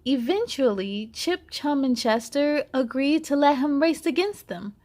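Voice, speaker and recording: A woman with a high voice narrates for young children as a story-time host. She takes her time, phrasing each line deliberately. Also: animated, darkish tone. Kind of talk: animated children's read-aloud narration.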